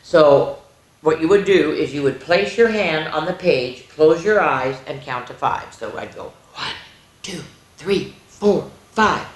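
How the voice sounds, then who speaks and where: A woman hosting a children's story time, reading a picture book aloud in an animated, sing-song, question-asking way. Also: sits low, measured pace. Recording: roomy, echoey indoor sound.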